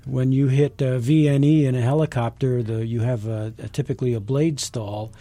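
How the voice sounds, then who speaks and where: A man interviewing casually, informal and knowledgeable, with a halting way of talking. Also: medium pitch, fairly even intonation, warm tone, dry recording. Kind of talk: casual technical interview chat.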